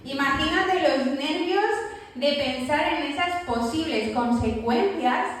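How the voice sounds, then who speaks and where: A woman with a high voice preaches to her congregation, telling the story vividly and acting out the lines she quotes. She is heard from a distance in a very echoey church hall. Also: takes it slowly. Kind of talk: sermon.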